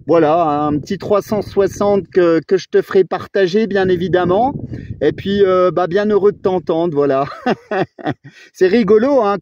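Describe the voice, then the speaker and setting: A man's voice: high voice, a little mellow, a little hiss or hum. A man talking casually as an amateur radio operator during a contact. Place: outdoors at a mountain spot. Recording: dull narrow amateur radio audio.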